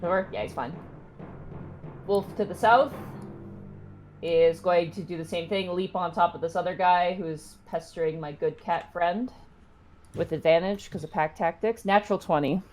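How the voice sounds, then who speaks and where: Woman, low voice, tabletop game player, casual game talk, music clearly behind. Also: steady tone, moderate pace, pauses often, darkish tone.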